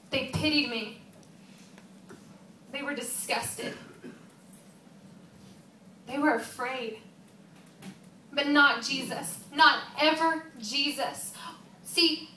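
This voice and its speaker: A woman performs as a devoted female disciple in mourning, telling her story as an emotional stage monologue. Her voice is high and bright, earnest and confiding. It is picked up at a distance in a roomy, echoing space. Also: heavily acted. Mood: earnest, reflective, then joyful, fervent. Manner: earnest, emotional, confiding, with pauses and rhetorical questions. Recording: roomy, distant mic, faint background noise.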